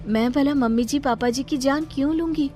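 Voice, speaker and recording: A vengeful ghost bride from a cartoon horror tale, acted out by a woman. She speaks in a raised, menacing voice with drawn-out words. Music plays behind her. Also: high voice, mellow, pitched above the performer's own voice, close to an everyday voice. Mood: feigned innocence. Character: vengeful female ghost Gauri possessing a young wife, menacing. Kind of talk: cartoon story character voice.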